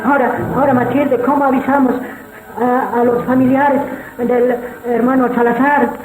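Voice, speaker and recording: Woman playing an urgent church sister, high voice, echoey. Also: little pitch movement, moderate pace, a little mellow, soft background music, natural voice. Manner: insistent, repeating phrases. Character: urgent, insistent church sister bearing bad news. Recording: echoey room with background noise.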